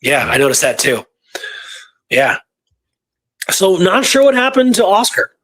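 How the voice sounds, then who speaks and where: A man's voice: sits high, very animated, a little slow, fairly bright tone. A man talking casually through historical research, rambling and informal, repeating points for emphasis. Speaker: history researcher and show guest or co-host.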